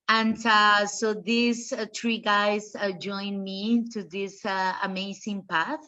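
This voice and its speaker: Woman, Colombian accent, native Spanish speaker, mid pitch, hesitant phrasing, event host, muffled lo-fi call sound. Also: sharp tone. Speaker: association founder, event host. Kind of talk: informal online presentation.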